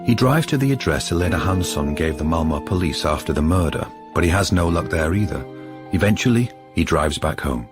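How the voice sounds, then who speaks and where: A man's voice: low voice, lively intonation, a little fast. A man giving scripted narration for a radio drama in the present tense. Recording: music clearly behind the voice.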